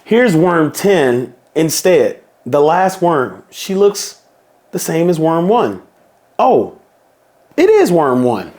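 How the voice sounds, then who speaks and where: Slow, playful man reading to kids. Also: fairly high pitch, very animated, a little mellow. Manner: slow, playful storybook reading with drawn-out counting. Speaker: children's book reader. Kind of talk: reading a picture book aloud.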